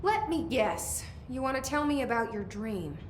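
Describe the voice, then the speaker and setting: Young woman game character, humoring and guarded, slightly high pitch, echoey. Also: very animated, moderate pace. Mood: placating. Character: guarded, patient young woman questioning her disturbed brother. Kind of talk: video game dialogue acting. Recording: roomy echo, faint noise.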